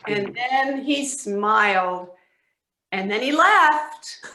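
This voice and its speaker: Woman reading a kids story slowly, crisp bright tone, roomy sound. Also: fairly high pitch, expressive. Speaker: reader in a readers theatre. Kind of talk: children's storybook narration. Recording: echoey room.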